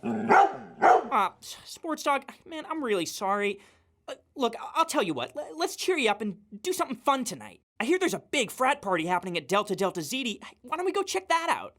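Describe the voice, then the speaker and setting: A woman's voice: fairly high pitch, fairly bright tone. A woman playing an earnest, awkward young college student who stammers sympathetically in a comedy radio serial. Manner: stammering, exclaiming.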